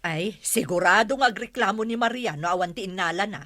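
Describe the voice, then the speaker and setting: A woman's voice: medium pitch, fairly quick, bright, forceful tone, no echo, clean studio sound. A woman with an Ilocano accent playing a sceptical, gossiping village neighbour in a radio comedy drama. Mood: doubtful, gossipy.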